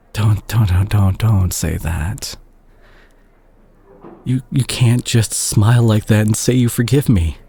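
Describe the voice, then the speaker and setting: A man playing a remorseful, guilt-ridden doctor ex-boyfriend in an intimate romantic audio drama, speaking haltingly and tenderly with a husky voice. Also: mid-range voice, a little mellow. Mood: self-reproaching. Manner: halting, emotional, with sighs and repeated words. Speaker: audio roleplay performer.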